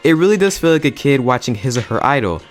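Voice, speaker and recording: A man's voice: mid-range voice, big pitch swings, fast talker, soft background music. A young man hosting a casual video review in an enthusiastic, slangy, emphatic way. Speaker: YouTube host and film reviewer.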